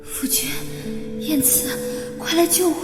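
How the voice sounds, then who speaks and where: A woman's voice: high-pitched, slow, loud music behind the voice. An actress playing a young wife who pleads and screams through tears, crying out for her husband. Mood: desperate. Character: young wife, desperate and defiant.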